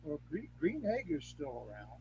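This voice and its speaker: An older man with a slightly raised voice reminisces casually. He rambles and halts as he moves from one anecdote to the next. There is clear hum and noise behind him. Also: fairly high pitch, wildly swooping pitch, moderate pace, warm tone, low-quality recording.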